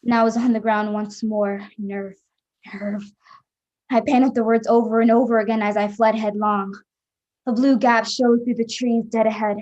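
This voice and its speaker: A woman acting a hunted, defiant adventurer in a radio play. The voice is fairly high and keeps to a narrow range, telling the tale in dramatic, suspenseful first person. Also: little pitch movement, normal speed, a little mellow, natural voice. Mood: tense, desperate. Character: hunted adventurer narrating her escape, tense and defiant.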